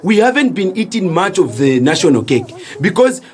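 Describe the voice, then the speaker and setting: A young Zambian man from the village in an audio drama. His voice is high and animated in its melody. He speaks with earnest emphasis, as a nephew among his city relatives. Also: moderate pace. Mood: earnest, frustrated. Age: young adult.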